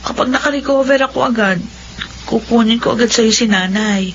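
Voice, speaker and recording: An actress playing an apologetic, earnest older sister who pleads, in a radio drama style. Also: female voice, fairly high pitch, brisk, a bit bright, slight background noise. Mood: apologetic, tearful. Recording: muffled radio drama audio.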